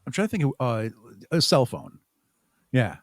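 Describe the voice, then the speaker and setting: A man's voice: mid-range voice, very animated, moderate pace, dry recording, studio quality. Casual man chatting as a podcast guest. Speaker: podcast guest, audio drama creator and podcaster. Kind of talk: casual interview chat.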